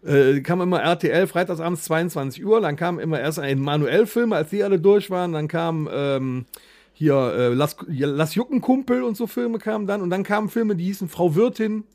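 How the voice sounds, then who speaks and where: A man's voice: on the high side, moderate pace, a little mellow. A middle-aged man co-hosting a podcast in casual, jovial chat, often chuckling as he talks. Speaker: podcast co-host.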